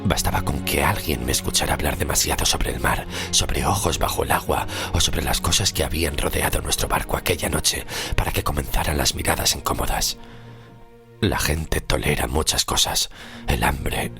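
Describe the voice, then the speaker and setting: A haunted old-world sailor, played by a male actor, recounts his survival in an audio horror drama. His voice is rock-bottom low and heavy with vocal fry. He speaks gravely and deliberately, sinking into near-whispers over clearly audible music. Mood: weary, ominous. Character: haunted, scarred 16th-century sailor, outcast survivor telling his story. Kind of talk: first-person narration in an audio horror drama.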